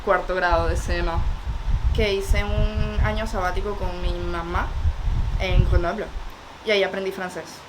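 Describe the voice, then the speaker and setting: A woman's voice: lowish voice, level tone, normal speed, background noise. A Venezuelan woman in her early twenties with a Venezuelan accent, telling her story directly in an interview. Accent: Venezuelan Spanish. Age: early 20s. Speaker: theatre student, interviewee. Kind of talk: casual interview.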